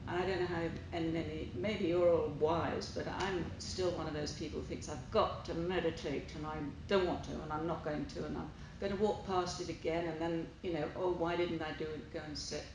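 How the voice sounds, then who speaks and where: A woman's voice: low voice, fairly even intonation, brisk, few pauses. A woman sharing personal experience as a participant in a group discussion. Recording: very echoey room, noisy, distant pickup.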